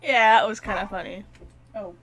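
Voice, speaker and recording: A woman's voice: mid-range voice, normal speed, a bit bright. A woman live-streaming a game, reacting in casual chat with an informal, sweary, playfully blunt manner.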